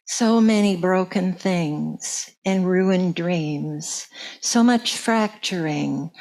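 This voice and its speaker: A woman giving a solemn, deliberate reading of a poem at a service, in short measured phrases. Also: mid-range voice, a little mellow. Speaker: reader of chalice lighting words.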